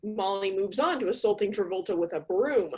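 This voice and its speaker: A woman's voice: medium pitch, expressive, a little fast, dark tone. A woman reading comedic scripted narration for a radio play.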